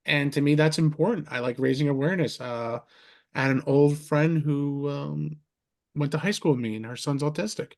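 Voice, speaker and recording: Rambling, slightly high-voiced podcast host man. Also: normal speed, creaky, a little mellow. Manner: rambling, restarts sentences, heaps on compliments. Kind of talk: casual interview chat.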